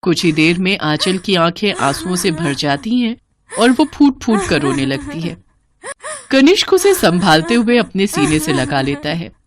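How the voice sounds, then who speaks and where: A woman narrating a scripted family story in a dramatic storytelling style. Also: sits low.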